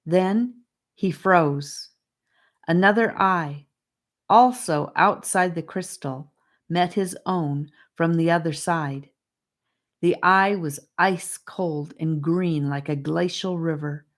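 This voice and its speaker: A woman narrating a children's fantasy audiobook with deliberate, expressive phrasing. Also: on the low side. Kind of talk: scripted storybook narration.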